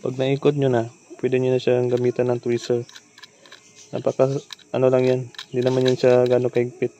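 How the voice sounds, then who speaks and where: A Filipino man hosts a casual, improvised how-to while he works. His delivery is very flat and even, with little melody. He speaks informally and instructively, over faint background noise with insect-like chirps. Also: medium pitch, brisk. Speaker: repair tutorial host. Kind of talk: casual hands-on tutorial, improvised narration.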